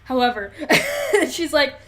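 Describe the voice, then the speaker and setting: A woman's voice: high-pitched, huge pitch swings, takes it slowly. A woman co-hosting a podcast, recapping a story casually in a wry, slangy way and acting out the dialogue. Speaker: podcast co-host.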